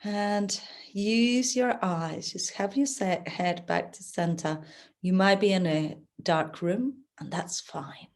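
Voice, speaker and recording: A woman teaching yoga nidra, giving gentle, soothing, unhurried guidance through a relaxation practice. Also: medium pitch. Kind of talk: guided relaxation instruction.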